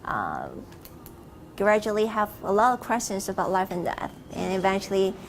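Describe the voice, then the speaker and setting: A woman's voice: medium pitch, big pitch swings, normal speed, a little hiss or hum. Malaysian-born woman, Buddhist temple resident. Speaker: Buddhist practitioner living in a temple. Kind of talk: documentary interview answer.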